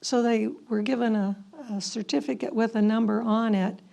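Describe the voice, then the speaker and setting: A woman's voice: on the high side, steady tone, normal speed, dark tone. A woman giving an informal historical narration as a presenter, speaking a little hesitantly. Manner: hesitant, with frequent throat clearing. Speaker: presenter commenting on historical letters.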